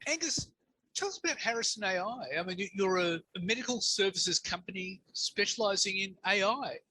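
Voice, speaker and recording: A man's voice: high-pitched, lively intonation, fairly quick, bright, forceful tone, a little hiss or hum, poor audio. A male interviewer asking brief, direct questions.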